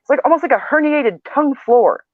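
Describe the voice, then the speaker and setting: A man's voice: very high-pitched, lively intonation, brisk, no room sound. A man with a nasal voice in a casual, rambling video chat, poking fun at himself. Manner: rambling, chatty, self-deprecating. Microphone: video call. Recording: tinny phone-like video call, dry.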